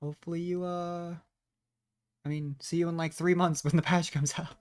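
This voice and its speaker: Streamer: mid-pitched, casual, chatty, thinking aloud while playing. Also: flat delivery, normal speed, a little mellow, clear, resonant tone. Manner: chatty, musing, trailing asides. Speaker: game streamer. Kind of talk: casual live game commentary.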